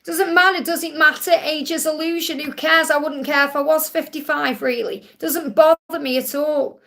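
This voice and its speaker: A woman in her forties with a high, light voice, talking straight to her viewers on a live stream. She reads out their messages and answers with gentle, reassuring readings, drifting into a sing-song lilt on the affirmations. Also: steady tone, normal speed, vocal fry. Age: 40s. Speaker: spiritual reader hosting a live Q&A.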